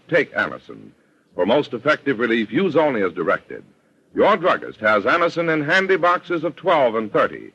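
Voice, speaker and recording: Bright, husky vintage American radio announcer, male. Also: medium pitch. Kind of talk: 1940s radio announcing and ad reading. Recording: dull vintage radio broadcast recording.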